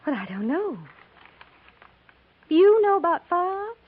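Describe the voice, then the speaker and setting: An American actress playing a chatty, easily riled young schoolteacher bride with folksy, earnest speech in a 1950s radio drama. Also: female voice, very high-pitched, big pitch swings, a little slow, heavily acted. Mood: puzzled, earnest. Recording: tinny, narrow-band 1950s radio recording.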